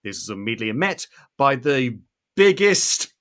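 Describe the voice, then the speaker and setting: A British man in his thirties hosts a podcast in a mid-range voice. He gives animated, opinionated commentary and leans on key words with theatrical emphasis. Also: mid-range voice, moderate pace. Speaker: podcast host.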